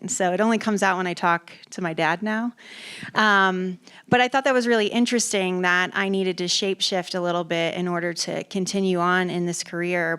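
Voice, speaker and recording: A woman's voice: on the low side, a bit bright, clean tone. A woman from Texas, an entertainment executive, speaking casually on a festival panel. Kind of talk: panel discussion.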